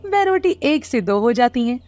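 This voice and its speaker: A woman giving scripted narration of an animated moral story. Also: a little high, moderate pace, soft background music.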